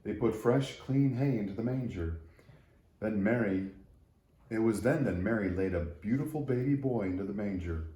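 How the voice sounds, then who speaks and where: Male children's story reader. Low, dark, relaxed tone, echoey room. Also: moderate pace. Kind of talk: read-aloud storytelling for children. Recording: roomy echo.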